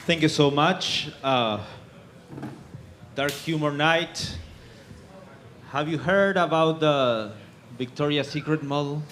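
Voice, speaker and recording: Slow, halting stand-up comedian, a man. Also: on the high side, fairly bright tone, a little hiss or hum. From Argentina. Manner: halting, filler-heavy delivery. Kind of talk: stand-up set. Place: comedy club stage with live audience.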